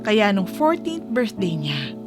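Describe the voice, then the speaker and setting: A woman's voice: mid-range voice, very animated, slow pace. A woman playing a radio hostess who reads a mother's letter as sentimental, earnest narration, with a Filipino accent. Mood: moved, proud. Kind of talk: scripted dramatic narration, radio drama. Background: music bed.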